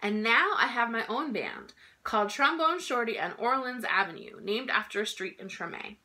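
A woman in her thirties reading a children's picture book aloud in an expressive, emphatic storytelling style. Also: fairly high pitch, moderate pace. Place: small indoor room at home.

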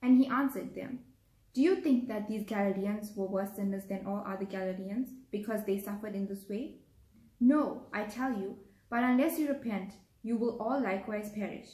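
A woman's voice: mid-range voice, normal speed, mellow. A young woman gives a formal Bible reading aloud in a living room. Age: young adult. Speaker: scripture reader. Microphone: camera across a table. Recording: echoey home room.

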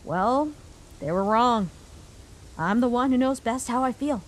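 A woman voicing a caring human child in a comic dub, a protective older sibling who speaks gently and reassuringly. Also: fairly high pitch, a little slow, lightly acted, poor audio. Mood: cheerful, reassuring.

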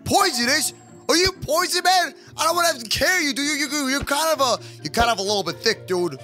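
A man voicing an excitable, whiny little moose boy in a kids' gaming cartoon, stammering and slangy. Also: very high-pitched, quick pace, faint music behind, exaggerated voice. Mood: concerned. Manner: stammering, peppering speech with slangy address.

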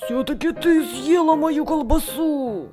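Woman doing a high-voiced grumbling cartoon wolf. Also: slow. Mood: grumbling, teasing. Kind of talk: fan comic dub. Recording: music behind.

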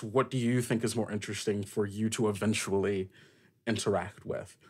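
A man hosting a tabletop roleplaying game as game master, improvising descriptive narration and putting questions to the player. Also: lowish voice.

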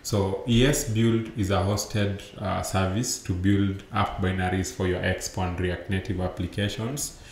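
A young man with a deep, low voice walks through a software talk from an indoor room, speaking loosely with frequent fillers and self-corrections. His laptop mic picks up a roomy echo and a faint hum of background noise. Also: flat delivery, unhurried. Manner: explanatory, loose, many fillers and restarts. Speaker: conference speaker. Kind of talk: remote tech conference talk over slides.

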